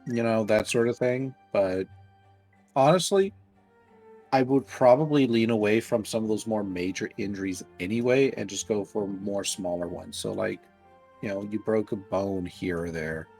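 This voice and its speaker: A man's voice: lowish voice, moderate pace, a little mellow, faint music behind. A man running a tabletop game, talking things over casually with his players in an easygoing, reassuring way. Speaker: game master.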